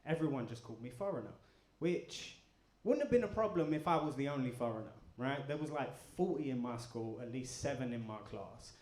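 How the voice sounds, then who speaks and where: Stand-up comic in an echoey club. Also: male voice, mid-range voice, a little fast, hardly any pauses. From England. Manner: deadpan, crude punchlines with crowd banter. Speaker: stand-up comedian. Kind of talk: stand-up comedy set. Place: comedy club in front of a live audience. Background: audience laughter.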